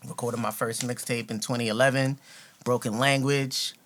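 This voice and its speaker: A young man in a podcast studio tells his own story, loose and unhurried. His voice sits at an ordinary middle pitch. He laughs easily and drops curse words without a second thought. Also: level tone, a bit bright. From New York, with Ghanaian parents. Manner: laid-back, laughs often, swears freely. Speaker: guest, rapper. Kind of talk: casual storytelling interview.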